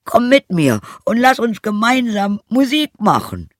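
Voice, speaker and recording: An eager old donkey from a storybook, pitched far up and loud. He urges his companions along with drawn-out, emphatic phrases. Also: big pitch swings, no room sound, clean studio sound. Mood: eager, encouraging. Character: eager, encouraging old male donkey turned musician.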